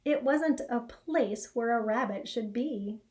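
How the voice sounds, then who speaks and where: Female storybook reader, middle-aged, soft mellow tone, slow measured delivery with expression. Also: on the high side. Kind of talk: read-aloud children's story narration. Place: small indoor classroom or office.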